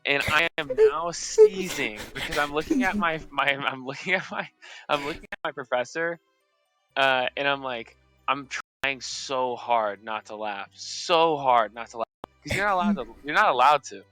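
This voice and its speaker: A man's voice: mid-range voice, big pitch swings, normal speed, sharp tone, soft background music, poor audio. Rambling young man telling a story. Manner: rambling, with many restarts and fillers, often laughing. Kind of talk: casual anecdote on a stream. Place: indoors at a streaming setup.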